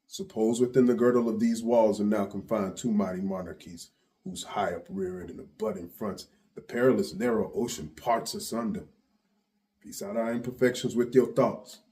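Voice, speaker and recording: A man's voice: lowish voice, steady tone, moderate pace, close to an everyday voice. A man playing a grand, impassioned storyteller, declaiming a Shakespearean monologue to an audience. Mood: humble, coaxing. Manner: declamatory, rising from hushed to ringing. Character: Chorus, grand impassioned male storyteller addressing his audience.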